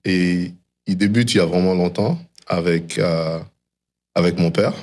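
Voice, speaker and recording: A man's voice: deep voice, unhurried, darkish tone. A middle-aged man from DR Congo and Canada speaks as an interview guest, telling a personal story reflectively and mixing English phrases into his French. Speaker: interview guest, tech founder.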